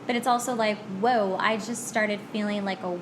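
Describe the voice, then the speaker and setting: A woman's voice: mid-range voice, steady tone, moderate pace. A woman hosting a podcast in a casual chat, talking candidly and poking fun at herself. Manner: candid, self-deprecating. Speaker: podcast host. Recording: clear background noise.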